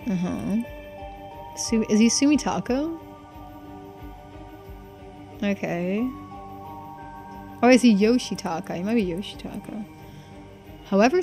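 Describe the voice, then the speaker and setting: A woman streaming a game, reading its text aloud in a measured way with muttered asides and small stumbles. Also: mid-range voice, warm tone.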